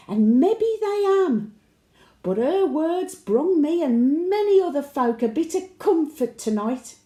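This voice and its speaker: A woman's voice: high-pitched, dark tone, echoey room. A woman playing a cheeky, wistful elderly market-trader widow with a Birmingham accent, telling her tales in a chatty, colloquial audio monologue. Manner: colloquial storytelling with dry chuckling asides. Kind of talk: audio monologue, chatty diary addressed to late husband.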